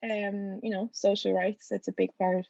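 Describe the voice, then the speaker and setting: A woman asks questions in a gravelly, mellow voice, friendly but hesitant, with plenty of fillers. The recording is dry and somewhat dull and lo-fi. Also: mid-range voice, level tone, normal speed, no room sound. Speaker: interviewer. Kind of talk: casual interview questions.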